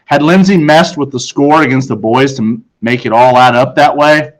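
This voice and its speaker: A man reading a children's story as expressive scripted narration, dramatic and with deliberate pauses. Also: mid-range voice, rough recording. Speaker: audiobook narrator.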